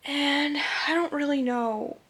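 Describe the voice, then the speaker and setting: Slow, soft-spoken young woman. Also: high voice, rough recording. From Switzerland. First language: French. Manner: soft-spoken, unhurried, with many fillers. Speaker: vlogger. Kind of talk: casual vlog talk to camera.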